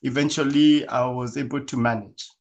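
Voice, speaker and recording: A man from Kenya who is a guest in an interview and answers casually in a measured, thoughtful way, with many fillers. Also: medium pitch, fairly even intonation.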